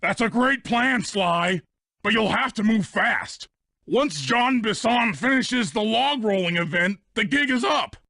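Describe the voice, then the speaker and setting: A man voicing a big, simple, eager hippo sidekick in a video game, with a childlike gravelly voice. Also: animated, a little slow, bright, forceful tone, no room sound, clean studio sound, exaggerated voice. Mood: worried, eager. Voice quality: gravelly, high. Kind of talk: video game character voice acting.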